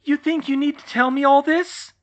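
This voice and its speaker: A narrator voicing a distraught, overworked young witch who shouts and breaks down. The voice is high, strained and loud, in a storybook reading. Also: fairly even intonation, moderate pace, lightly acted. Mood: frantic. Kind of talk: audiobook reading of fan fiction. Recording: slightly muffled recording.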